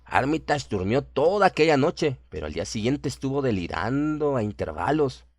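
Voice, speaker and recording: A man's voice: mid-range voice, sing-song, moderate pace, no room sound, clean studio sound. A man narrating a scripted horror story in a dramatic radio style. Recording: prominent music under the voice. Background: music.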